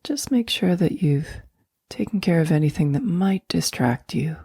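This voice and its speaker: A woman guiding a sleep meditation in a soft, breathy near-whisper, speaking slowly and gently with long, soothing pauses. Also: fairly low pitch, expressive, dry recording, clean studio sound. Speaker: meditation guide.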